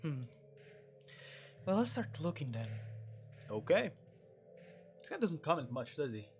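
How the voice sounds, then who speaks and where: A man's voice: on the high side, sing-song, unhurried, darkish tone, highs cut off, soft background music. A man doing casual, rambling let's-play commentary, reading game dialogue aloud and adding jokey asides. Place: at home.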